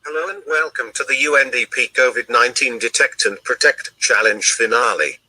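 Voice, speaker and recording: A man's voice: medium pitch, a little fast, pushed and bright, rough recording. A synthetic text-to-speech man's voice giving a scripted welcome, played through a small speaker near a microphone. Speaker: robot co-host greeting an event audience. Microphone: played from a small speaker held near a microphone.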